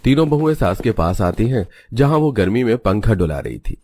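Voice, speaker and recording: A man's voice: lowish voice, big pitch swings, a little fast, a little mellow. A man reading a scripted family story as its narrator.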